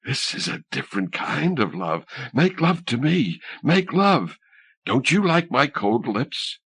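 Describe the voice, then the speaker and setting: A man's voice: medium pitch, very animated, measured pace, fairly bright tone, slightly rough, pitched above the performer's own voice, heavily acted. A man voicing an exultant, seductive undead drowned woman who gloats over her prey in an audiobook story.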